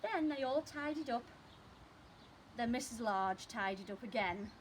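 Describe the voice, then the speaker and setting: High, animated woman reading to young children. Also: unhurried. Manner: expressive, addressing young children. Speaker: primary school teacher reading stories. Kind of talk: children's storytime read-aloud. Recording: clearly noisy background.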